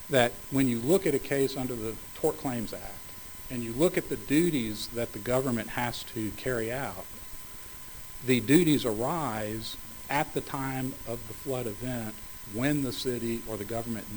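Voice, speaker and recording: A man arguing as attorney for a city in an appellate court, speaking in measured, formal legal phrasing, slightly hoarse. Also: mid-range voice, darkish tone, a little hiss or hum, rough recording. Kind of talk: appellate oral argument.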